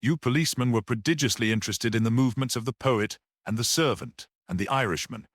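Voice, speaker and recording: A man voicing a mild, perceptive priest-detective in a story, grave, measured and almost dreamy as he reasons. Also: medium pitch, no room sound, clean studio sound, close to an everyday voice. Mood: unruffled monologue.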